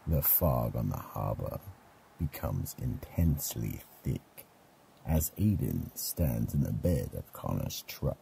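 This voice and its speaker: A man narrating a scripted radio mystery as an ominous, deliberate storyteller. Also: rumbling low, very animated, gravelly, warm tone, a little hiss or hum.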